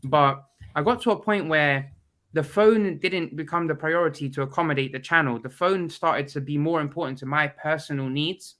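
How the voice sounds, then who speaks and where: A man's voice: on the high side, moderate pace, sharp tone. A man, a guest in a casual video call chat, talking bluntly and with strong opinions while stuffed up from a cold.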